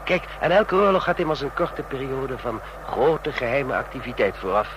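An actor in a Dutch radio drama playing a chatty, smug train passenger obsessed with spy stories, talking in a knowing, needling way. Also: male voice, normal speed, dull audio, lightly acted. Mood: smugly chatty. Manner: talkative, knowing, needling with rhetorical questions. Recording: noisy radio play with vehicle rumble.

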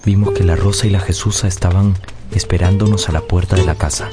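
An actor narrating a radio drama as a grown man recounting his childhood, in a measured, solemn and tender way. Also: low-pitched, steady tone, music in the background, lightly acted. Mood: grave recollection.

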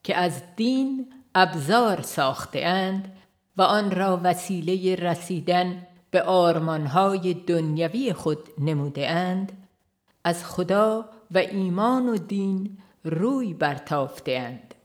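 Low-voiced woman reading devotional text. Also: expressive. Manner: slow, earnest and reflective. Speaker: audiobook reader. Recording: faint instrumental music behind.

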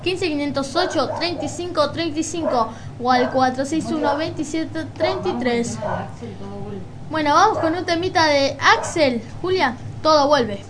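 A woman's voice: sits high, normal speed, a crowd in the background. A woman with an Argentine accent co-hosting an informal radio show, announcing as if reading out items. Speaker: radio co-host.

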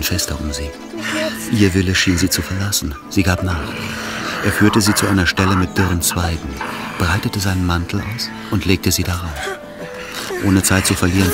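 A man reading literary radio drama narration in a solemn, intense manner. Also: deep voice. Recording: loud music under the voice. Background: music.

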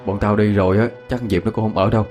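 A man's voice: fairly low pitch, fairly even intonation, fast talker, darkish tone, faint music behind, lightly acted. A man playing a blunt, fed-up young male student in a ghost story, talking colloquially.

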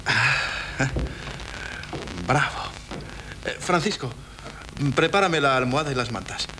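An actor playing a nervous, flustered husband in a 1970s radio drama, hesitant and pleading. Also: male voice, medium pitch, animated, takes it slowly. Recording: old radio broadcast with faint hiss.

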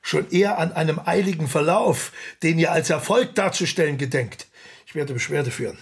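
A man acting a proud, irascible eighteenth-century composer in a German period radio drama, sardonic and commanding, with a gravelly, husky voice. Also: fairly high pitch, moderate pace. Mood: sardonic, indignant.

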